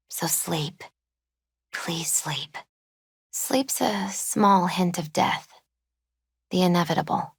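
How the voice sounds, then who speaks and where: A woman playing a gentle, spiritual young tarot reader in a video game, soft-spoken, unhurried and often hushed. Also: fairly low pitch, gravelly, husky, no room sound, studio quality, heavily acted. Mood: solemn, soothing.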